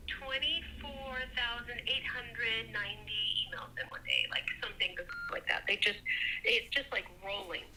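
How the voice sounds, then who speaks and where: Woman chatting away on a noisy call, really bright sharp voice, rambles, repeats stuff for emphasis, swears a bit. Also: mid-range voice. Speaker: caller seeking tech help. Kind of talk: casual personal storytelling.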